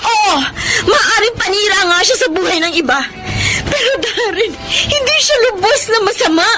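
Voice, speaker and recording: An actress playing a devoted, long-suffering young wife and daughter in a Tagalog radio melodrama. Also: female voice, extremely high pitch, animated, moderate pace, over music. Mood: tearful, defiant.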